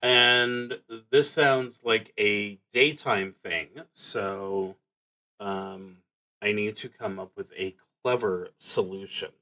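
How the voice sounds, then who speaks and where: A man hosting a solo roleplaying stream, musing to himself in a halting, deliberate way as he plays. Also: lowish voice, pushed and bright. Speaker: host of a solo roleplaying livestream. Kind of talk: thinking aloud while playing a solo tabletop game.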